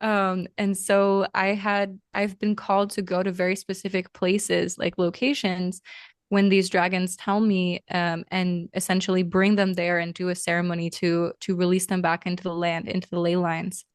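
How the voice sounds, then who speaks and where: Guest: young woman raised in Lithuania and the US, smooth voice, dry close sound, earnest, rambling, lots of "um"s. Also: lowish voice, little pitch movement, normal speed, dry recording. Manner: earnest, meandering, many fillers. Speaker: podcast guest. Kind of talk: casual interview conversation.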